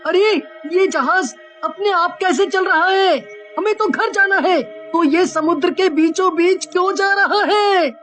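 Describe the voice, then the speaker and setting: A woman voicing boastful, excitable townsmen in a cartoon story. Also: extremely high pitch, slow, hardly any pauses, soft background music, exaggerated voice. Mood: alarmed.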